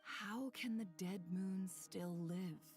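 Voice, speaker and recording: A woman's voice: mid-range voice, slow, darkish tone. A woman voicing a composed, serious young woman in a video game, level-headed and probing. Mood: serious, pondering. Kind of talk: English video game voice acting. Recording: music under the voice.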